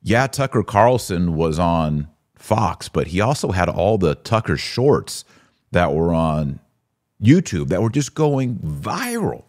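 A man hosting a live-stream podcast, giving casual, conversational commentary in halting phrases with repeats and rhetorical questions. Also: low-pitched, sing-song, a little mellow.

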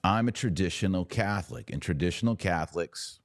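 Man, very low voice, podcast host, opinion commentary, emphatic, wry, rhetorical questions, dry recording. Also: expressive, a little fast, no room sound. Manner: emphatic, repeats words and asks rhetorical questions.

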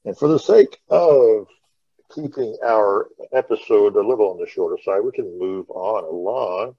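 A man hosting a podcast in a halting, offhand, casual chat. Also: on the low side, slightly rough, no room sound, highs cut off. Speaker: podcast host.

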